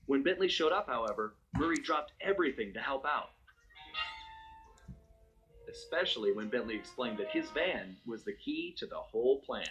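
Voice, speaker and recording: An American man voicing a suave, confident master thief, narrating a video game cutscene in a smooth, wry, unhurried storytelling manner. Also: medium pitch, animated, fairly bright tone, natural voice, rough recording. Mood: wry, fond. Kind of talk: scripted first-person cutscene narration. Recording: music under the voice.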